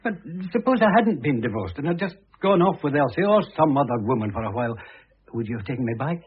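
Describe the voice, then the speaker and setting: Conceited middle-aged ex-husband, radio play: very rough husky voice, indignant swoops, muffled narrow old recording. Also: male voice, medium pitch, lively intonation, fast. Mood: baffled, pressing. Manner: pompous, blunt, indignant. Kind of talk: vintage radio drama acting.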